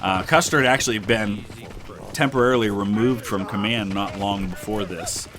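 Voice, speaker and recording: Stuffed-up man with a low voice. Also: normal speed. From Ohio, United States. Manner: relaxed, rambling, many "uh" and "um". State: stuffed-up nose from a sinus infection. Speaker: gaming channel host. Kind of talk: casual history commentary over gameplay.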